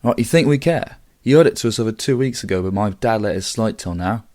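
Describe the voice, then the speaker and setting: A woman's voice: a bass voice, expressive, quick pace, a little mellow, no room sound, clean studio sound, voice pushed down. A woman voicing a menacing young male gang leader with a silky, threatening manner.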